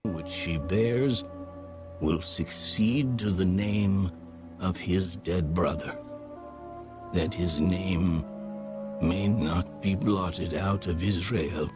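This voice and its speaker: Low voiced guy reading the bible real slow and solemn, music playing behind him. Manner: solemn, deliberate, measured phrasing. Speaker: audio Bible narrator. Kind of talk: scripted scripture narration. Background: background music.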